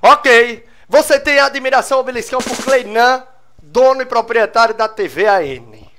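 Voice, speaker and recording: Young man, talk show host, teasing, jokey, higher voice, small studio. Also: moderate pace, fairly bright tone, slight background noise. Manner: teasing, jokey, laughs often. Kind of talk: lively talk show interview. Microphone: handheld microphone.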